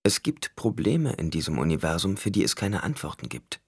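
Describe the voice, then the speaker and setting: A man's voice: on the low side, lively intonation, moderate pace, a bit bright, breathy edge, no echo, studio quality, pitched above the performer's own voice, exaggerated voice. A man voicing a grieving young male ruler in an audiobook, stern and commanding with a toneless edge. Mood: flat.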